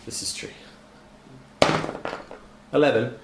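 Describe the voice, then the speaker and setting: A man playing a tabletop role-playing game in a casual, joking style of chat. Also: takes it slowly. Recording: roomy echo, faint background noise.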